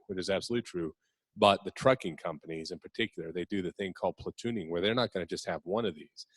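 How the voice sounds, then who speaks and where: A man's voice: low voice, slightly rough, no echo. A man speaking as a panelist, explaining earnestly and repeating points as he goes. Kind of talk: panel discussion.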